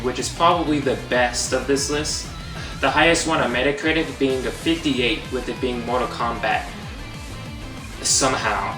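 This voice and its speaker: A young man hosting a comedic movie review, sarcastic and exasperated. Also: mid-range voice. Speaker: host. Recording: echoey, distant, under music.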